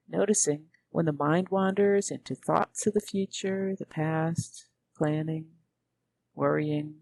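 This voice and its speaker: A woman guiding a meditation as a teacher, speaking gently in measured, unhurried phrases with pauses. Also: low-pitched. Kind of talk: guided meditation narration.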